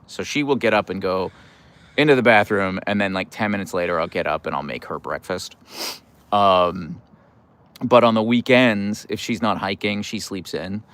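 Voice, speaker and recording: A middle-aged American man hosting a casual livestream, playful and wry, reading out comments and answering in halting starts. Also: deep voice, a bit bright. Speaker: livestream host.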